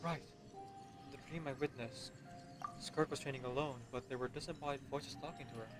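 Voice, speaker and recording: A man's voice: medium pitch, fairly even intonation, a little fast. A man commenting on a video game playthrough in a musing, conversational way. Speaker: game streamer. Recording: loud game music behind voice. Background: loud game music.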